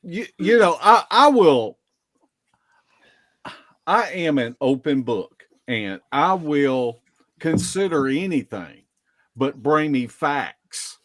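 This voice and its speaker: A man telling field stories casually as a podcast guest, in a halting way with drawn-out pauses. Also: fairly high pitch, very animated, a bit bright, no echo. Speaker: guest, Bigfoot field investigator. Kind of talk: casual storytelling in a live podcast interview.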